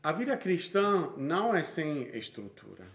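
Slow, measured Brazilian preacher man. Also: on the high side, sing-song, fairly bright tone. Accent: Brazilian Portuguese. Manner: measured, reads from notes with frequent restarts. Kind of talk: sermon read from notes.

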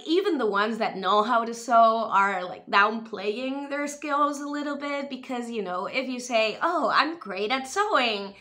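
A young woman from Spain, raised in the US, chatting animatedly to camera from a room at home. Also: a little high. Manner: animated, expressive, gestures while talking. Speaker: vlogger reviewing a TV show. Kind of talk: casual chatty commentary to camera. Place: small room at home, indoors. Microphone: webcam or camera close in front.

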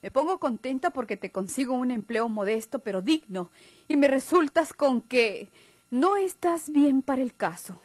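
Bossy sweetheart, Mexican: animated, husky, emphatic, proud, classic radio drama acting. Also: female voice, sits high, normal speed, no echo. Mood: reproachful. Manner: emphatic, commanding, reproachful. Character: bossy, encouraging, proud sweetheart of a timid young man.

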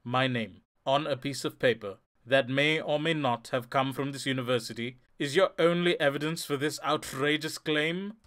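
An actor playing a smug, polished criminal professor with a coolly sardonic manner in an audio drama. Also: male voice, medium pitch, normal speed, few pauses, a bit bright, natural voice. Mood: cool, mocking. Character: suave, smug male criminal mastermind professor.

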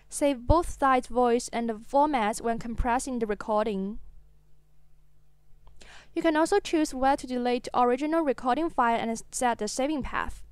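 A woman reading a scripted voice-over as the narrator of a software tutorial. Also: high voice, smooth, no room sound.

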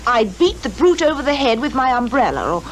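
A woman playing an excitable, indignant detective's assistant with a British accent, exclaiming and flustered in a 1950s radio drama. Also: on the high side, a little fast. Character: excitable, indignant, soft-hearted spinsterish female assistant. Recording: very noisy 1950s radio recording.

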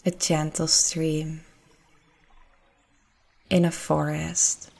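A woman narrating a guided meditation in a gentle, soothing, unhurried way with long pauses. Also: low-pitched, flat delivery, gravelly.

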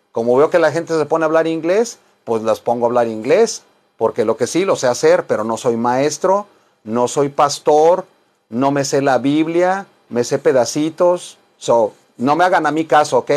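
A man's voice: on the high side, moderate pace, a little mellow. A middle-aged man teaching a bilingual language lesson informally, repeating phrases and dictating them word by word. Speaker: English teacher and self-styled chaplain. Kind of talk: bilingual English lesson in Spanish and English. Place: small wood-paneled room indoors.

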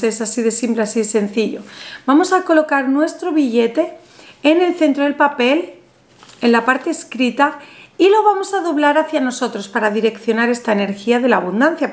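A woman in her thirties hosting a spiritual video, calmly guiding the viewer through a money ritual, seated at a table in a small bright room. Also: sits high. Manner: soothing, instructive, addresses the viewer directly. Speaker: spiritual channel host. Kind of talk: guided money ritual tutorial. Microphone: camera in front, seated at a table.

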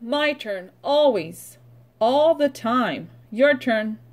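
A middle-aged woman speaks as a preschool teacher to young children. Her voice sits a little high and she goes very slowly. She is instructional, leaving pauses so that listeners can join in or repeat. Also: animated. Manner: instructional, prompting with pauses for repetition. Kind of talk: read-aloud vocabulary lesson for young children.

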